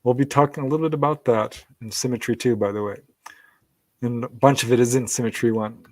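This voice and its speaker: A man hosting a podcast Q&A, briskly reading out listener questions. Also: mid-range voice, fairly even intonation, a little mellow, dry recording. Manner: brisk, reads questions aloud, light laughs.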